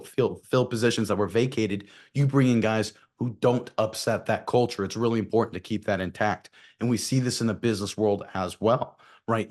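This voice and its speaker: Hoarse low-voiced man giving sports opinions. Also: darkish tone. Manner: emphatic, repeats key phrases. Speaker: sports show co-host. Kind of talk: opinionated commentary.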